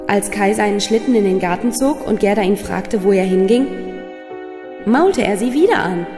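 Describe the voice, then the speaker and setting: A woman's voice: mid-range voice, lively intonation, moderate pace. A woman storyteller reading a scripted fairy tale for children. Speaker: storyteller, narrator. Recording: long reverb, music underneath. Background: music.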